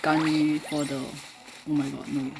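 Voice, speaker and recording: A woman's voice: low-pitched, flat delivery, slow pace, noisy background. A woman giving casual gameplay commentary in a wry, informal way. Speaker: gamer. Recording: game music behind the voice.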